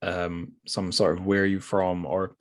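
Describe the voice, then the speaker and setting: A man's voice: sits low, flat delivery, a little slow. A Scottish man hosting a podcast, talking casually in a rambling way with many restarts and fillers. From Scotland. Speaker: podcast host. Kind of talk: casual conversation.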